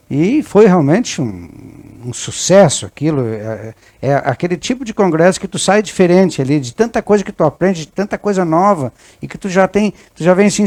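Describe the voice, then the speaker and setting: A middle-aged man from southern Brazil with a Rio do Sul lilt, hosting a conversational interview. His tone is warm and mellow, and he talks at an ordinary middle pitch. He pauses on drawn-out fillers, then picks up energy as he tells a story. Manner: reminiscing, hesitant "eh" fillers, gets animated when telling a story. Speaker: interview host.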